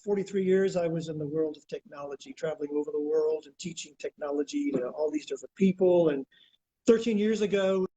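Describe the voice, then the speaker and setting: A man's voice: a little high, fairly quick, dark tone, muffled recording, a little hiss or hum. A man in his sixties, born in Greece, answering as an interview guest and explaining ideas step by step in an earnest, lecturing way.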